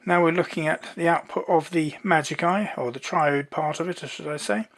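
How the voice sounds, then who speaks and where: Unhurried presenter explaining at a medium pitch. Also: steady tone. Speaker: presenter of an electronics demonstration. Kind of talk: informal hands-on technical demonstration.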